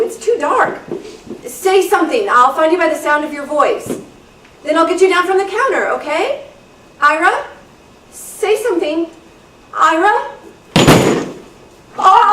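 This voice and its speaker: A woman playing an exasperated, increasingly frightened young babysitter in a live radio play reading, dramatic and pleading. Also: extremely high pitch. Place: large room at a club meeting, live reading. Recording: echoey hall, distant mic, noisy.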